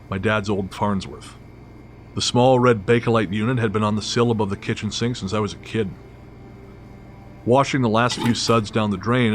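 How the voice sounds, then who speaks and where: A man's voice: on the low side, a little hiss or hum. A man reading scripted story narration in the first person, with a wry, matter-of-fact manner. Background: clearly audible music.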